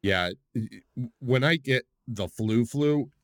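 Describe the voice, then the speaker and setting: A man's voice: mid-range voice, expressive, takes it slowly, dry recording, clean studio sound. A man co-hosting a casual gaming podcast, rambling and repeating words as he starts sentences, still getting over the flu. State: recovering from flu, voice still rough. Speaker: podcast co-host. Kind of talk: casual chat.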